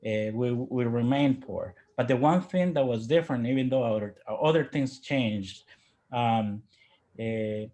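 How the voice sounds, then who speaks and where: A man's voice: medium pitch, mellow. Hesitant, reflective man from Ecuador. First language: Spanish. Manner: halting, thoughtful, with repeated word starts. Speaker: interview guest, physician. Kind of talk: personal reflection in an interview.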